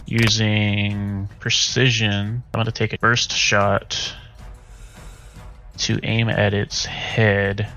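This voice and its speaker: Male tabletop player, drawn-out pace, heavy vocal fry, soft music underneath. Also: lowish voice, level tone, highs cut off.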